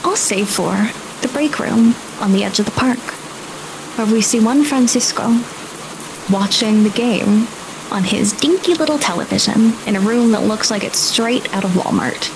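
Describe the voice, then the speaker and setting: A woman's voice: a little high, normal speed, noisy background. Woman narrating a game over music. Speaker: game master. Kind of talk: scripted-sounding atmospheric tabletop narration.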